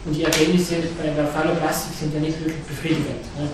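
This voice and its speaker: Male panelist, flat delivery, far off, very echoey, noisy. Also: on the high side, quick pace. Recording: distant echoey hall, noisy.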